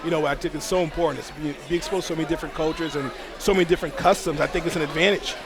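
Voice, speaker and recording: A man hosting an upbeat on-location interview in an animated, enthusiastic manner. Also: fairly high pitch, very fast. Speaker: host. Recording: busy crowd chatter behind.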